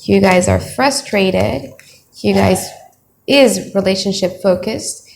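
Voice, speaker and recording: A woman's voice: mid-range voice, slow pace, creaky, darkish tone, slight background noise. A young woman from Indonesia with an Indonesian accent, giving a blunt, chatty tarot reading to camera from home. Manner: chatty, blunt, thinks aloud while laying out cards. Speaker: tarot reader. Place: home room, children heard nearby.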